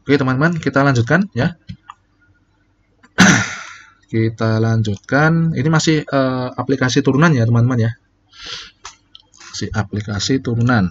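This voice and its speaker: A man's voice: medium pitch, unhurried, pauses often, lo-fi sound, a little hiss or hum. A man teaching calculus in a casual, step-by-step way, often correcting himself. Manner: casual, explains step by step, many fillers and self-corrections. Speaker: calculus lecturer. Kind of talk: recorded calculus lecture.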